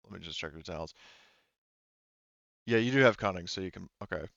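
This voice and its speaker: A man's voice: low voice, brisk, gravelly, fairly bright tone, no room sound, dull audio. A man running a tabletop roleplaying game as game master, talking casually and hesitantly as he thinks aloud.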